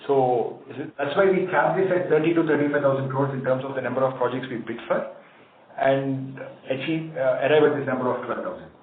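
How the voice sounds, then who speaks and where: A man's voice: mid-range voice, brisk. A man with an Indian accent answering analysts' questions as a company executive on a conference call. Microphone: conference phone line. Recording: tinny phone line, echoey room, noisy.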